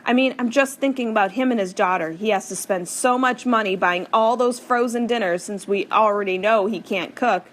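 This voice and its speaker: A woman's voice: medium pitch, moderate pace, few pauses, pitched above the performer's own voice, close to an everyday voice. A woman reading an audiobook, voicing a young girl who is upset about her family, her voice raised and shaking. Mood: sharp. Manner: shaky, raised voice.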